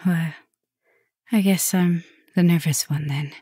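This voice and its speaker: Woman playing a breathy, slow, tender vampire professor. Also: fairly low pitch, fairly even intonation, no echo, clean studio sound. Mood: gentle, nervous. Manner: soft, breathy, teasing, often dropping to a whisper. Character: affectionate female vampire professor, soft-dominant lover. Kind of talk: intimate ASMR roleplay.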